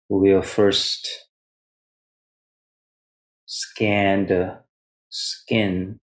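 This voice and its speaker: A man guiding meditation as a teacher, speaking in short, measured phrases with long pauses between them. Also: low-pitched, a little mellow, poor audio. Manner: refers to himself in third person as teacher. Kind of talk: guided meditation instruction.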